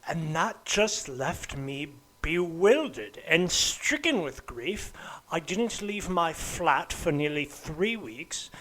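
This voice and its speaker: A man with an affected, unconvincing English accent hosts a comedic podcast monologue in a pompous, halting way, often stammering and repeating words. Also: fairly high pitch, very animated, fairly bright tone, low-quality recording. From United States. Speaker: podcast host.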